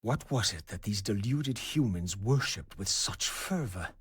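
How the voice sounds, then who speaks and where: An actor playing a brooding undead antihero in a video game, formal and measured, with a husky voice. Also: male voice, lowish voice, lively intonation, no echo, studio quality, exaggerated voice. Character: brooding undead male antihero recounting his quest. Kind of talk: dramatic scripted game narration.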